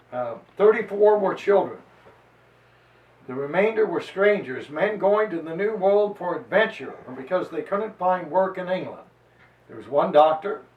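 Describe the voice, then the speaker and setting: High-pitched man reading history, echoey and distant. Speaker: preacher giving a historical reading. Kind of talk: dramatic reading and lecture to a live gathering. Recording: echoey room, distant microphone.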